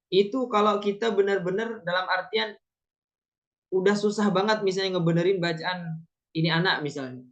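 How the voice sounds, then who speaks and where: A man from Indonesia giving a training lecture on Quran reading, his voice sitting a little higher than most men's. He explains things step by step and keeps dropping short affirming fillers between points. The recording sounds dull and muffled, with the highs rolled off. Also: fairly bright tone. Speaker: Quran recitation teacher. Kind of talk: lecture at a teacher training course.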